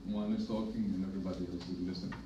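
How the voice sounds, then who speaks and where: A middle-aged man from Greece with a Greek accent, speaking on a conference panel as a hepatologist, measured and emphatic with dry humor. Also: low voice, steady tone, far from the mic. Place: conference room with projector. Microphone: podium mic, filmed from far in the room. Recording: echoey room from afar, dull and noisy.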